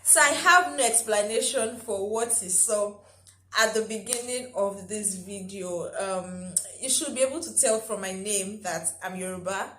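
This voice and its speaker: Brief: young Nigerian-accented woman, chatty vlogger, mid-pitched, roomy echo with faint hiss. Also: a little slow, bright, forceful tone. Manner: chatty, laughs often, frequent "um" and "right?". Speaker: YouTuber. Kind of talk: casual vlog talk.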